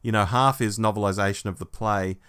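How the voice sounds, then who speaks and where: A man's voice: lowish voice, fairly even intonation, brisk, a bit bright, clean tone. A man co-hosting a podcast, reviewing a book in a casual, wry, conversational way. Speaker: podcast co-host.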